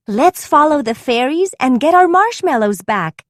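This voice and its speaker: A woman's voice: sits high, lively intonation, measured pace, no room sound, clean studio sound, voice pushed up. A woman voicing a brave, caring young girl who leads her friends in a children's storybook, earnest and decisive. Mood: determined.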